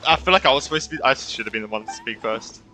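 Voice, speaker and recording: A young man giving casual, rambling live reactions while playing a video game, excitable and giggly. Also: medium pitch, racing, faint music behind. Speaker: gaming streamer.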